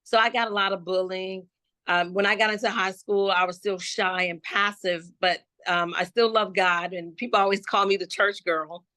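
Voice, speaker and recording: Bright-voiced woman from Florida. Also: medium pitch, steady tone, no echo. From Jacksonville, Florida. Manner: slow, reflective, with many restarts and fillers. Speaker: gospel hip-hop artist guest. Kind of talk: personal life testimony in an interview.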